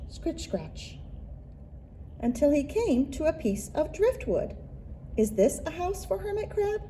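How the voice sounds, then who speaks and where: Lady doing storytime read aloud for little kids, really mellow voice, slow n expressive, rhythmic phrasing. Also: medium pitch, slight background noise, rough recording. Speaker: children's librarian and story reader. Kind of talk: picture-book read-aloud for young children.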